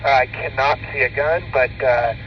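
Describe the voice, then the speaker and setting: A man's voice: mid-range voice, fairly even intonation, normal speed, distant mic. A man talking in clipped, procedural police radio traffic as an air-unit officer. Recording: noisy muffled police radio, vehicle ambience.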